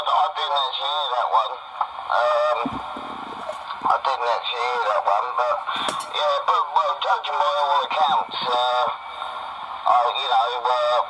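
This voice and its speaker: A man chatting casually over two-way radio in a hesitant, measured way. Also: medium pitch. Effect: two-way radio transmission. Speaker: amateur radio operator. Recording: very noisy CB radio recording.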